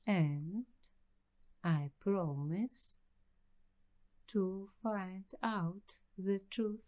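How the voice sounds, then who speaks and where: A woman performing an ASMR roleplay as a paranoid young waitress, speaking in a secretive, deliberate hush that drifts into whispers. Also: medium pitch. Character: paranoid young waitress investigating a creepy attic mystery. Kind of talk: ASMR roleplay, slow scripted monologue.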